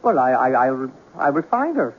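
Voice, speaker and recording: An actor playing a stubborn, gloomy, lovesick young Irish immigrant in a 1970s radio drama, indignant and stammering when flustered. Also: male voice, mid-range voice, very animated, moderate pace, slightly rough. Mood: exasperated. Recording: dull, noisy old radio broadcast.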